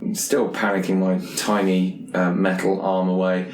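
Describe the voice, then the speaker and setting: Male roleplayer, lowish voice, informal and improvising, recorded with clear room reflections. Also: completely flat delivery, normal speed. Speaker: player in a tabletop roleplaying game. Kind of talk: casual improvised game chat. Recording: roomy, echoey sound.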